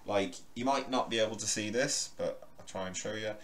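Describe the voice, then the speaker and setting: A man with a booming voice talks loosely to camera from his bedroom, full of fillers and restarts, as he stands a little way from his microphone. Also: brisk, recorded from a distance. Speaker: crypto vlogger. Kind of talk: casual talk to camera. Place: bedroom, indoors. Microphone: microphone in the room, standing away from it. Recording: roomy bedroom echo.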